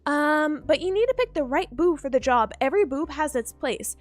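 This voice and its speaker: A woman's voice: sits high, expressive, normal speed. A woman streamer chatting casually with her viewers, playful and giggly, repeating herself, groggy from just waking up. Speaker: VTuber streamer. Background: faint background music.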